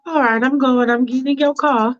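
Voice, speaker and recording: A woman's voice: steady tone, fairly quick, highs cut off, lightly acted. A woman voicing a fussy, prim woman who whines and talks in a babyish sing-song. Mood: flustered. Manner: prissy, whiny, talks in a high sing-song.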